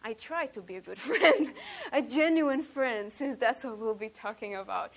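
A woman's voice: fairly high pitch, animated, moderate pace, vocal fry, low-quality recording. A woman in her thirties from Romania, leading a church workshop in an outgoing, chatty way with many ums. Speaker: workshop leader. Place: workshop room, indoors.

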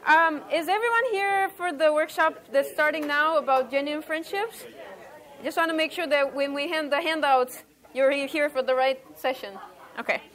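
High-voiced woman leading a workshop. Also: normal speed, a bit bright, a faint crowd. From Romania. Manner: outgoing and chatty, many ums. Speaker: workshop leader. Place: workshop room, indoors.

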